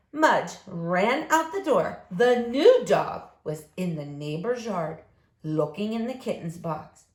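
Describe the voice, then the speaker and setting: A woman reading a storybook aloud to young children in an expressive, emphatic, deliberate way. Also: a little high. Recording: roomy echo, faint background noise.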